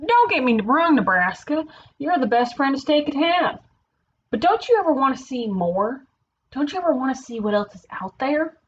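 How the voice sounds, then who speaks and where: A woman's voice: high-pitched, big pitch swings, muffled recording, slight background noise, voice pushed up. Woman doing a whiny twanged cartoon guy, restless. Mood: eager, scheming.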